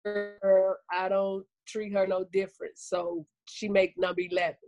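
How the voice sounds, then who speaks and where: A woman's voice: mid-range voice, little pitch movement, measured pace, darkish tone, highs cut off, soft background music. A woman in her fifties with a Southern US accent, chatting as a comedian guest in a folksy, good-humored way. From Arkansas, United States. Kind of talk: casual interview chat.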